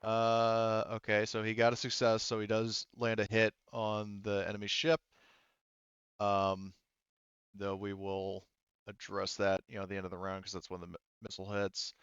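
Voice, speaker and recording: A man running a tabletop game casually as game master, thinking aloud haltingly as he works through rules and rolls. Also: on the low side, completely flat delivery, a bit bright, clean tone, no echo, muffled recording. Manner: halting, thinking aloud while rolling dice.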